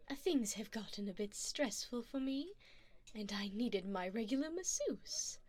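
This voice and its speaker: A woman playing a wealthy, seductive vampire in an ASMR roleplay, doting yet predatory, with a poised, formal, teasing and breathy delivery. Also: on the high side, animated, unhurried, a little mellow. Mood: smooth, persuasive. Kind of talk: ASMR roleplay, addressing the listener.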